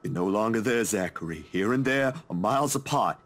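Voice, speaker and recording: An actor playing a weary, haunted young man in a visual novel, speaking in a hushed way. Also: lowish voice, lively intonation, brisk, natural voice. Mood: self-calming. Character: weary young man haunted by his past, self-soothing.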